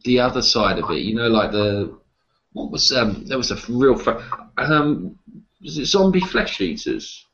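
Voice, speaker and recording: A man chatting casually as an interview guest about what he likes, halting and repeating words as he goes. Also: mid-range voice, sing-song, a bit bright, highs cut off. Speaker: interview guest, horror writer and publisher. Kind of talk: casual conversation about horror films.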